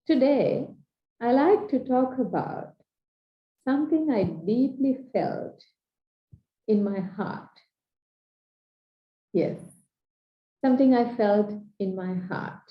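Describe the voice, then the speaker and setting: A woman from Sri Lanka with a Sri Lankan English accent, giving an earnest, measured coaching talk in short, paused phrases. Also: a little high, expressive. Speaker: transformational coach. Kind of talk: slow reflective training talk. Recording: dull echoey room recording.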